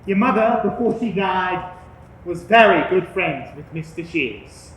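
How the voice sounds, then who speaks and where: A woman voicing an older female neighbour who speaks low and secretively. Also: lively intonation, a little slow, slight background noise. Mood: confiding. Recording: very echoey room.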